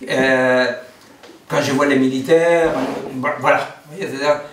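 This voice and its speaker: A man's voice: mid-range voice, steady tone, measured pace, noisy background. A man from Argentina, giving a philosophy seminar lecture, digressive, with many fillers and rhetorical questions. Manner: digressive, frequent fillers and rhetorical "n'est-ce pas". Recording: roomy, distant mic.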